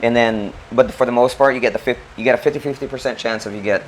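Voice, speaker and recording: A man's voice: medium pitch, fairly even intonation, quick pace, slight background noise, rough recording. A man from the Philippines, co-hosting a casual chat and explaining his points step by step. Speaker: co-host.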